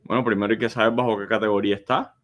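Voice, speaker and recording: Male lawyer guest, fairly low voice, plain-spoken explanatory advice, step by step. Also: level tone, very fast. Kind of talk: live legal advice, explanatory.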